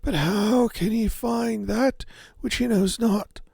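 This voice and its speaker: A man voicing a proud, doting merchant father in a fairy tale, with rough, grand and archaic speech. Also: sits high, slow, a little mellow, dry recording, voice pushed up, heavily acted. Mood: pondering. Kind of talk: storybook character voice.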